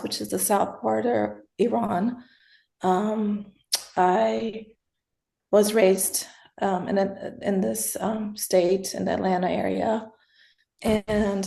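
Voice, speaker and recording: A woman in her fifties answering as an interview guest, hesitant with many pauses and fillers. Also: mid-range voice, creaky, dark tone, roomy sound.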